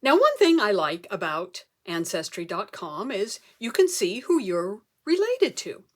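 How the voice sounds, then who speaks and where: A woman performing a comic story as a speech, theatrical, with dramatic pauses and emphasis. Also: mid-range voice, big pitch swings. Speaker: club speaker telling a humorous tall tale.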